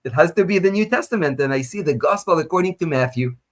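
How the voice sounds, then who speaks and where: A man from Israel with an Israeli accent, a native Hebrew speaker, tells his personal story as an interview guest over a video call. He speaks in an animated way, with small grammar slips. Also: a little high, fast. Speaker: guest scholar.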